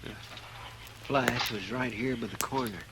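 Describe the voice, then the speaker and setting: An actor playing a loyal, anxious Old West deputy with a rural American accent and earnest, deferential manner in 1950s radio drama. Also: male voice, mid-range voice, a little slow, heavy background noise, close to an everyday voice. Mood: earnest, uneasy. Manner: folksy, deferential, earnest drawl. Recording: vintage radio recording with music.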